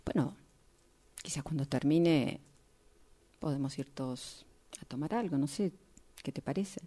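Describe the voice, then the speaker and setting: A woman's voice: sits low, measured pace, warm tone. A woman improvising a radio drama part as a sociable mother making tentative, awkward small talk. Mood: chatty, awkward. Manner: tentative, chatty. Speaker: radio drama workshop participant.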